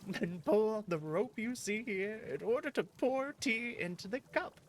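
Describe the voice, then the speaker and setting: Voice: medium pitch, expressive, unhurried, slight background noise, lightly acted. A streamer voicing a cheerful, theatrical game character who patiently gives tea-making instructions. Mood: cheerful, instructive. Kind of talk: reading game dialogue aloud. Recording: music behind the voice.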